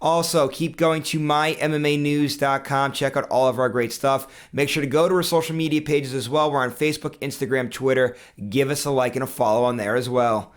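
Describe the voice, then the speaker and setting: A man's voice: medium pitch, steady tone, fairly quick, few pauses, smooth. A man hosting an interview, asking long, rambling questions full of fillers. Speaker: interview host.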